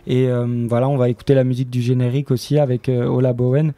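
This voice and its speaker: Guy guest on a radio interview, soft mellow tone, kinda halting, mid pitch. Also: little pitch movement. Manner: hesitant, halting explanation. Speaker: interview guest, member of a radio fiction production team.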